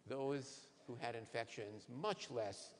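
A man in his sixties, a neurologist, giving a lively science lecture to patients on a conference stage, asking the audience questions. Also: medium pitch, takes it slowly. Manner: animated, asks the audience questions. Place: large conference hall stage. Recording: reverberant hall, faint noise.

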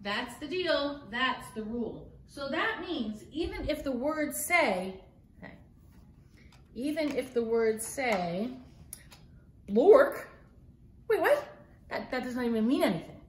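A middle-aged woman reads a story to children in a high, very lively voice. She is playful and theatrical, slipping in mock-exasperated asides, and the room echoes around her as a phone records from a few feet away. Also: slow pace, long pauses, a little hiss or hum. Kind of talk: animated read-aloud of a picture book for children. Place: living room at home, indoors. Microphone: phone camera a few feet away. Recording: roomy home phone-video sound.

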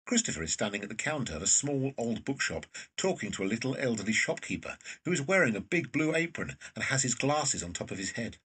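A man's voice: medium pitch, very animated, fast talker, few pauses, a bit bright, husky, recorded from a distance. A man reading scripted narration of scene directions for an audio drama.

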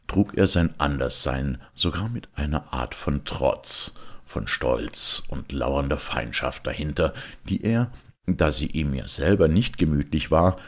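A man giving an expressive, deliberate reading of a literary text, with emphatic pauses. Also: very deep voice.

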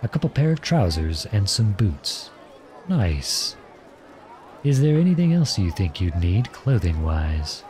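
Male actor as gallant outlawed prince, ASMR roleplay, low voice, playful, tender, courtly, outdoor ambience behind. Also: very animated, a little slow, darkish tone, a faint crowd, slight background noise. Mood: warm, attentive. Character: charming, gentle, teasing outlawed young prince. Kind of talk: ASMR roleplay acting addressed to the listener.

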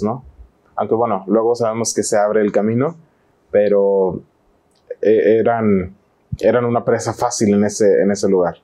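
A man's voice: lowish voice, dark tone. A man in his thirties with a Mexican accent, a panel guest thinking aloud with small restarts. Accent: Mexican Spanish. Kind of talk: casual discussion. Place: TV studio set.

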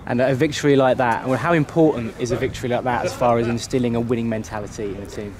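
A man's voice: mid-range voice, fairly even intonation, fast, a crowd in the background. A man interviewing a football manager after a match at an outdoor ground at night, asking long, rambling questions. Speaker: club media interviewer.

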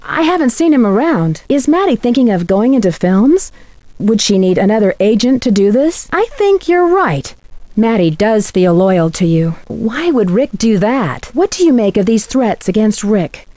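A woman's voice: a little high, sing-song, moderate pace, warm tone, no echo. A woman playing a curious, polite young amateur detective in scripted video game dialogue, earnest and inquisitive in manner.

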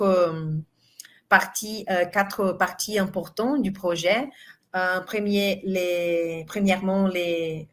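Brazilian woman speaking careful, accented French. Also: fairly low pitch. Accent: Brazilian Portuguese. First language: Portuguese. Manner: careful, hesitant, frequent fillers. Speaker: university professor presenting. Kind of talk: conference presentation. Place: home room, online. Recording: prerecorded video in echoey room, far from mic.

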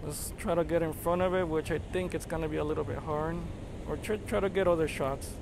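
A man chatting excitedly as he narrates his drone flight outdoors, marveling at what he sees. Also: fairly high pitch, fairly quick, vocal fry, background noise. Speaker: drone videographer. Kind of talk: casual live narration while flying a drone.

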